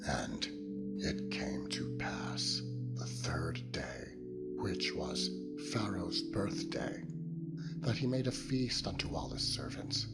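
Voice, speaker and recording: A man reading scripture as a dramatized narration in a solemn, measured, dramatic manner. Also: low voice, gravelly. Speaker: Bible narrator. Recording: music laid under the voice. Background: loud meditative music.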